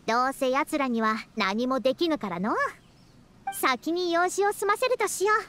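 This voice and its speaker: A woman's voice: sits high, lively intonation, moderate pace, a bit bright. A woman voicing a proud, youthful-sounding scholar in a Japanese video game, talking in a self-important yet caring way with old-fashioned elder's phrasing. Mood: flustered, grumbling. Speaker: scholar and teacher. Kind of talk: Japanese anime-style video game voice acting.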